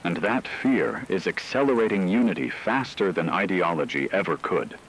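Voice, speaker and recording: A grave radio drama news anchor, performed by a man, with a deep, low voice. He delivers scripted emergency bulletins in measured, solemn phrases. A faint noisy wash like rain sits behind him. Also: animated, gravelly, a bit bright, exaggerated voice.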